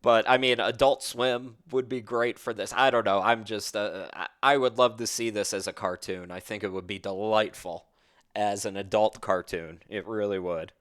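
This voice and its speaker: A man's voice: on the low side, steady tone, normal speed, a bit bright. A man, hosting a casual interview in an informal, enthusiastic way. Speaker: podcast host, interviewer.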